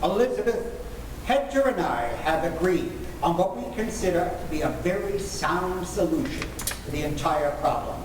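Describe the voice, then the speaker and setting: Man as firm, blustering radio-comedy father; high voice, emphatic paternal delivery, echoey room. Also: animated, moderate pace. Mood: decisive. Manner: emphatic, paternal, repeats himself for effect. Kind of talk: old-time radio comedy acting. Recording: echoey room with background noise.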